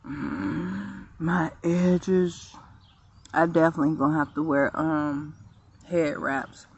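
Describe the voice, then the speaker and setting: Woman, late 30s, unhurried chatty vlogger, outdoors on a phone, muffled lo-fi sound. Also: low-pitched, darkish tone, slightly rough. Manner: chatty, laughs often, talks to viewers. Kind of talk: casual outdoor vlog talk. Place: outdoors in a quiet park.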